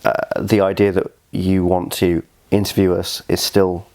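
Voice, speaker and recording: A man's voice: low voice, completely flat delivery, normal speed, a little mellow. A young man with an English accent, speaking casually as a guest in an interview. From southern Scotland. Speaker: interview guest, fragrance reviewer.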